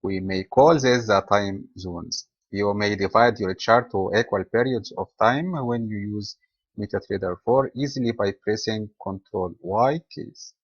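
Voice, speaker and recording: A man's voice: lowish voice, a little slow, no echo, highs cut off. Man from Egypt giving a webinar. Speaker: trading webinar host. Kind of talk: scripted webinar lecture.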